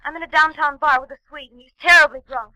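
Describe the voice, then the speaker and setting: An actress playing a young trapeze artist in a 1940s radio drama, speaking in an urgent, pleading way. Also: female voice, high voice, fairly even intonation, fast, pushed and bright, dry recording, rough recording.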